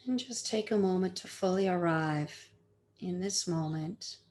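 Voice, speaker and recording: Slow, low-voiced woman guiding meditation. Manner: soothing, gentle instruction with long pauses. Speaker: meditation teacher. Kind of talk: guided meditation.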